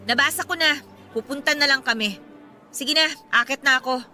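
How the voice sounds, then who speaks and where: A wronged single mother in a Filipino radio drama. Her voice is high and piercingly bright, swinging widely as she fires back with bitter sarcasm. Music plays underneath. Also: normal speed. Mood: curt, resigned. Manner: sarcastic, confrontational.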